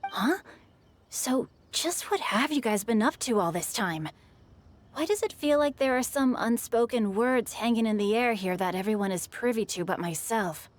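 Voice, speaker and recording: Woman as a nosy, lively teenage girl. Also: a little high, moderate pace, lightly acted. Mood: teasing, prying. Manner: playful, prying, suspicious. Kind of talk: animated game cutscene acting.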